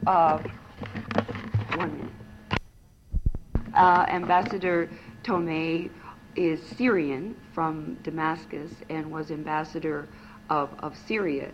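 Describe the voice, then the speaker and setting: Low-voiced, unhurried woman interviewer. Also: flat delivery, highs cut off, background noise. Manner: hesitant, uses many fillers. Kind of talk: oral history interview. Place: interviewee's home.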